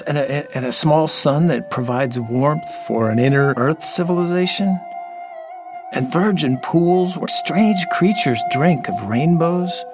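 A man in an audio drama playing a gentle, lonely half-human dolphin creature, telling things in a halting, dreamy, earnest way. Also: fairly high pitch, vocal fry. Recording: music behind the voice.

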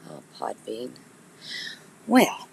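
A woman chats casually with her listeners on a live podcast. Her voice is raspy and gritty, stuffed up and nasal from congestion. She talks straight to her audience in a relaxed, self-mocking way. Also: a bass voice, drawn-out words, a little hiss or hum, poor audio. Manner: chatty, laughs at herself, talks straight to listeners. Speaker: podcast host.

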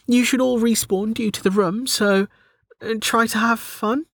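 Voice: medium pitch, a little slow, a bit bright, no room sound, clean studio sound, pitched above the performer's own voice. A narrator voicing a young female dungeon spirit who is chatty, bossy and playful, speaking casually in an audiobook story. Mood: weakly encouraging. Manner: casual, hesitant, mock-stern.

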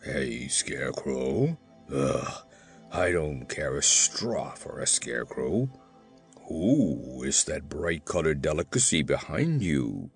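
A man voicing a huge, hungry, man-eating giant in a storybook, greedy and mock-sorrowful, with a slow, wheedling, rumbling delivery. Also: very animated, vocal fry, faint music behind, exaggerated voice.

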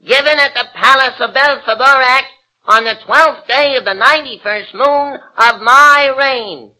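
A man's voice: very high-pitched, sharp tone, full character voice, poor audio. A pompous tiny king. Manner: pompous, fussy, easily flustered. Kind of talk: 1940s radio acting. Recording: old radio broadcast with music.

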